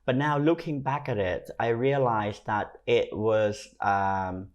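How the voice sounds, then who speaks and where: A man's voice: on the low side, slow, muffled recording. A man in his forties with a Thai accent, telling his own story conversationally as an interview guest. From Thailand. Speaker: podcast guest, fashion stylist and creative consultant.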